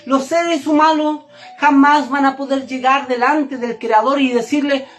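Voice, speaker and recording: High-pitched woman preaching emphatically. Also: fairly even intonation, measured pace, faint music behind. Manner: emphatic, didactic, repeats phrases for stress. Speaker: religious teacher. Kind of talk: Torah and Kabbalah sermon, direct address to listener.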